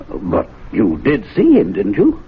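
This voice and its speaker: An actor playing an anxious, fussy gentlemen's club member in a 1970s radio drama, hesitant and politely flustered. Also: male voice, medium pitch, huge pitch swings, unhurried, slightly rough, exaggerated voice. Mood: worried. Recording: tinny, noisy old radio broadcast.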